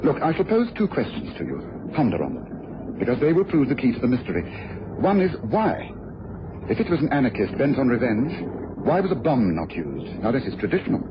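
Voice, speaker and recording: A man's voice: medium pitch, huge pitch swings, brisk. An actor playing a brilliant, commanding male detective with precise, didactic delivery in old-time radio drama style. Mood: smugly assured. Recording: dull, noisy old radio recording.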